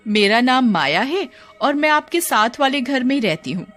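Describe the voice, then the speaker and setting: A woman's voice: high-pitched, sing-song, normal speed, fairly bright tone, faint music behind, pitched above the performer's own voice. A woman playing a cautious, secretive next-door neighbour in a cartoon story. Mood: friendly.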